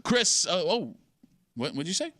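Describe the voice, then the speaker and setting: This is a man hosting a morning radio show. His voice sits high and sounds very bright and forceful. He teases callers and co-hosts in quick, playful bursts, his pitch swinging widely. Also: sing-song. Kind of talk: casual morning radio banter taking calls.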